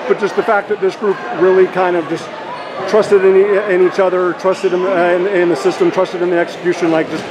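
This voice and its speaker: A man's voice: high-pitched, flat delivery, a little fast, a crowd in the background. A man interviewing a player after a game, asking long rambling questions full of fillers, in an arena hallway. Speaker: sports interviewer. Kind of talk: postgame interview. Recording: noisy background.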